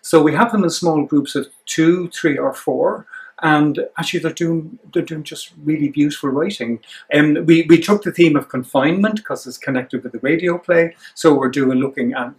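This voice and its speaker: Higher-voiced older Irish man, hesitant. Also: moderate pace. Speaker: theatre director being interviewed. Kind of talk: reflective interview talk.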